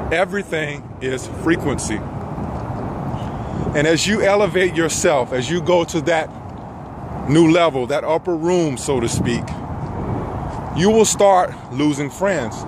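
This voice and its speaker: A middle-aged man giving an earnest motivational talk in measured phrases with frequent pauses, walking outdoors with a phone at arm's length. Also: a little high, lively intonation. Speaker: self-help vlogger. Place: outdoors on a path among trees. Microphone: phone held at arm's length. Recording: noisy outdoor phone recording, traffic ambience.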